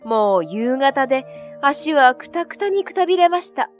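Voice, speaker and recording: A woman's voice: high-pitched, big pitch swings, normal speed. A woman reading a scripted children's story as narrator, with music playing behind her.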